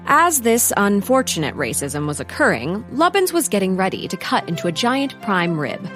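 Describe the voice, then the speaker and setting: An actress playing the wry narrator of a comic period audio drama, reading scripted narration. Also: female voice, medium pitch, very animated, moderate pace, fairly bright tone, faint music behind. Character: wry female storyteller of a comic period tale.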